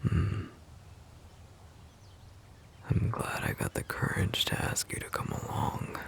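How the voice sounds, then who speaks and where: A man playing a sweet, bashful wolf-shifter boyfriend in an intimate ASMR roleplay, speaking softly and haltingly in a breathy murmur. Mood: shy, grateful. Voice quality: very deep, breathy, gravelly murmur. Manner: hesitant, halting, soft-spoken. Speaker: audio roleplay performer.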